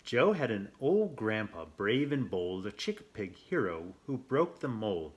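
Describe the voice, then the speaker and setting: A man reading a children's picture book aloud as host, playful and theatrical, with expressive asides and questions. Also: fairly low pitch, a little slow, lo-fi sound. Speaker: storyteller, host of a read-aloud show.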